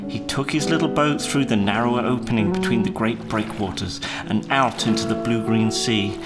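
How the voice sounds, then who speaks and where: A man reading a children's story aloud in a measured, expressive storytelling manner. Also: on the low side. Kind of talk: scripted children's story narration. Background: guitar music.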